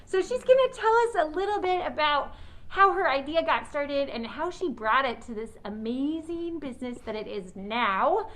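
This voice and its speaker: A woman's voice: high voice, expressive, normal speed, a bit bright. A woman interviewing guests for children, enthusiastic and encouraging as she addresses her young audience. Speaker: interviewer, children's show host.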